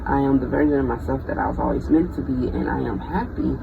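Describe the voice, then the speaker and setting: A thirty-year-old vlogger talking casually and off the cuff, in a reflective, halting, freestyled way. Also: mid-range voice, vocal fry. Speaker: bioengineering student. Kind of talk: casual unscripted personal vlog. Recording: dull lo-fi audio with vehicle-like noise.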